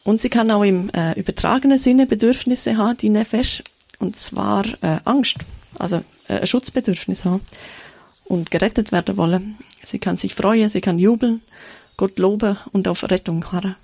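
A woman giving a sermon in a thoughtful, searching way, often pausing with fillers and restarting her sentences. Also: mid-range voice, creaky, darkish tone, husky, no echo. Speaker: preacher.

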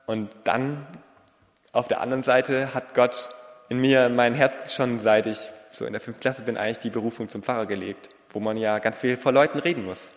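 A man delivering a sermon in an earnest, deliberate way, in short phrases with pauses and a slight lisp. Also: mid-range voice, steady tone. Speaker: preacher. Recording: long reverberant hall.

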